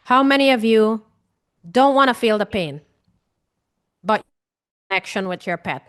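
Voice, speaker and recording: A woman's voice: on the high side, expressive, unhurried, smooth. A woman with a Guyanese accent, an emotional healing coach, speaking earnestly and casually straight to her viewers, repeating points and asking them questions. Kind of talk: casual direct-to-camera talk.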